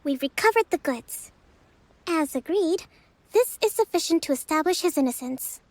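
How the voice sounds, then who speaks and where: A woman playing a composed, principled young priestess in a video game, speaking in a formal, measured, authoritative way. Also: high voice, expressive, darkish tone, dry recording, poor audio. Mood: firm, formal. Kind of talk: video game character dialogue.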